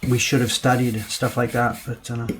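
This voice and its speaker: A man's voice: medium pitch, little pitch movement, moderate pace, slight background noise. A man from an older generation, chatting casually on a live stream as he prints by hand and answers viewers' questions. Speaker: woodblock printmaker streaming his work. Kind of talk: casual live-stream chat while working.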